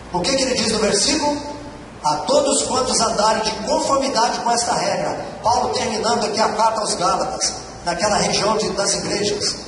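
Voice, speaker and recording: A man, preaching an earnest sermon and repeating his key phrases to exhort the congregation. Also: high voice. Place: large church hall. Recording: echoey church hall from far off, noisy.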